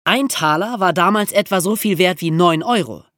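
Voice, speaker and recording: A man's voice: high-pitched, moderate pace, a bit bright, no echo, studio quality. A man co-hosting a podcast, telling and explaining a historical story from a script. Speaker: podcast co-host.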